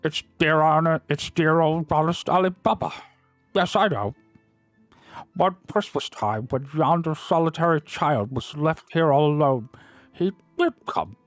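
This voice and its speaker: An old miser, put on by a man reading aloud, who gushes in a raised, strained voice. His pitch leaps and dips all over, and every phrase tumbles out breathless and high-strung. The sound is close and dry. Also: expressive, measured pace, dry recording, faint music behind. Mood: ecstatic. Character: old male miser, overwrought between laughter and tears.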